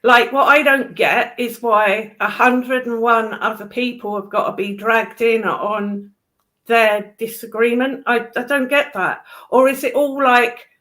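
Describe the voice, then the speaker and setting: A woman's voice: a little high, fairly even intonation, a little slow, sharp tone. A woman chatting casually in an exasperated, emphatic way, with some casual swearing. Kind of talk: casual chat.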